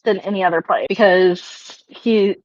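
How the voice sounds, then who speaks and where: A woman talking through a tabletop roleplaying game, moving between casual discussion and roleplay, on rough audio. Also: mid-range voice, steady tone, a little slow, a little mellow. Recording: dull, dry, rough online-session audio.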